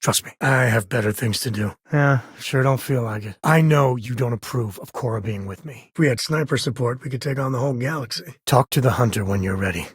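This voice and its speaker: Husky, wry space frontier ranger. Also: male voice, mid-range voice, a little fast, hardly any pauses, no room sound, clean studio sound. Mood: wry, sardonic. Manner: folksy, wry, drawling informal speech. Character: laid-back, sardonic male space frontier ranger and devoted father. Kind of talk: video game companion dialogue.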